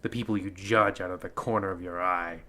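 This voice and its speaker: An actor playing a theatrical, pompous host of an old-time horror radio show. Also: male voice, sits low, fairly even intonation, a little fast, sharp tone. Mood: theatrically ominous. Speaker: radio play host narrator. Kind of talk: mock vintage horror anthology narration.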